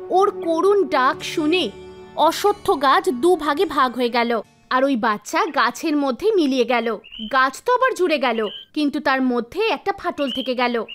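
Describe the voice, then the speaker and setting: A woman narrating a scripted animated folktale as a storyteller. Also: high-pitched. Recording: background music behind voice. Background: soft music.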